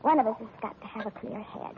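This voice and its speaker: An actress playing a devoted, soft-hearted young female secretary in a 1940s radio drama, speaking in a gushing, tender way. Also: on the high side, fast talker, natural voice. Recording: muffled old radio broadcast with hiss.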